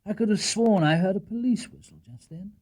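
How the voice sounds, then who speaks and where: A man's voice: sits high, a little fast, a little mellow, pitched above the performer's own voice, low-quality recording. A British man playing a sleepy, resigned middle-aged husband in a children's storybook. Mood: drowsy. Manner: drowsy, resigned.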